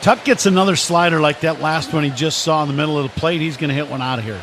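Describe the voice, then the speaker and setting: American guy doing color commentary for baseball radio, kinda higher voice, chatty and explaining stuff, lots of ballpark noise. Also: quick pace, a bit bright. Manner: conversational, explanatory. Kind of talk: live radio game analysis. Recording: crowd ambience noise behind broadcast. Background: ballpark ambience noise.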